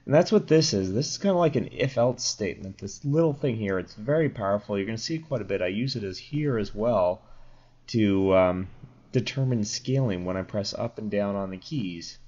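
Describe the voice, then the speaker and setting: A man's voice: fairly low pitch, a little mellow. A young man with a sore throat and a slightly crackly voice, casually explaining code in a rambling screen-recorded tutorial with fillers and self-corrections. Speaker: programming tutorial host. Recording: dull lo-fi mic.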